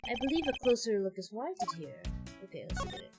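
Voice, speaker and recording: High-voiced woman streaming a game over music. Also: big pitch swings, fast. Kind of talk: live game stream, reading dialogue aloud and chatting with viewers.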